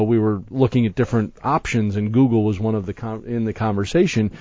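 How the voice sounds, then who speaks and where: A man hosting a casual tech podcast and explaining a news story in a relaxed way. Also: medium pitch, dry recording, highs cut off. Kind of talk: casual chat.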